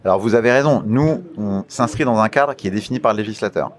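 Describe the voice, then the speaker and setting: A man's voice: lowish voice, fast talker. A man with a French accent answering interview questions as an official, formal and explanatory, feeling his way through phrases with repeated words. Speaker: media regulator official being interviewed. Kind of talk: radio interview, explanatory institutional talk.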